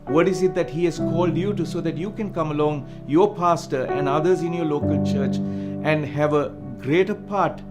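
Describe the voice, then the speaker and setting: Earnest Sri man over guitar music. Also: on the high side. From Sri Lanka. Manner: earnest, measured storytelling. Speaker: missionary speaker. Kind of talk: scripted testimony narration over music.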